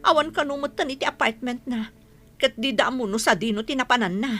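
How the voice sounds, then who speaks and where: A woman's voice: high-pitched, a little fast, bright, forceful tone. A woman playing an aggrieved village moneylender in an Ilocano radio comedy drama, scolding and emphatic, with an Ilocano accent. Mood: worried complaint.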